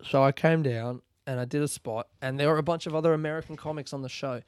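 A man's voice: on the high side, fairly even intonation, fast, smooth, no echo. A man, a comedian and podcast host telling a long rambling story with loose, profane phrasing and laughter.

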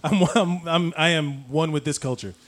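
A man's voice: fairly high pitch, normal speed. An American man hosting a live show in casual, conversational chat. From Cuban immigrant community in the United States. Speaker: host of a live comedy show.

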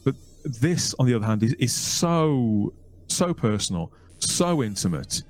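Male podcast host, middle pitch, long-winded conversational questions. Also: sing-song, a little slow. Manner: long, winding questions with restarts. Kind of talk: casual podcast interview.

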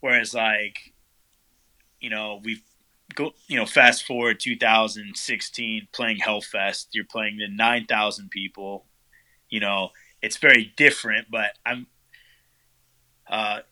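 Man, band vocalist, very bright tone, lowish voice, casual rambling answers. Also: slow pace, long pauses, rough recording. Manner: relaxed, rambling, many fillers.

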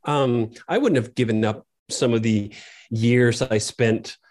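Danish-accented man, 30s, podcast guest, wry and thoughtful, mid pitch. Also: slow, darkish tone. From Denmark. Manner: reflective, wry, frequent um and uh. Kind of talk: podcast interview. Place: room in Copenhagen.

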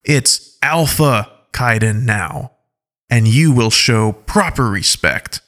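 A man's voice: medium pitch, takes it slowly, fairly bright tone. A man voicing a stern, proud wolf-tribe leader who demands respect. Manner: stern, commanding.

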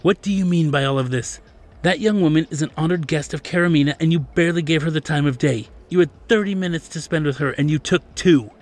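A man's voice: fairly high pitch, a little fast, pitched above the performer's own voice, natural voice. A man voicing a stern, formal middle-aged male manager who lectures earnestly and insists on proper manners. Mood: scolding. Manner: lectures earnestly, addresses him as sir.

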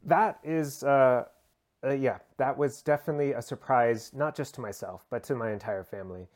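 A man from the United States answers an interview over a remote call, speaking at a mid pitch. His delivery is loose and conversational, full of hesitations and small restarts as he thinks aloud. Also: normal speed, darkish tone. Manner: conversational, many uh fillers and restarts. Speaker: guest, human rights lawyer.

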